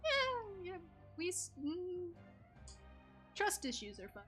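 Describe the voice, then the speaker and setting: A woman's voice: very high voice, sing-song, slow pace, sharp tone, faint music behind. A woman giving casual, reactive and teasing live commentary while she plays a game. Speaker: game streamer.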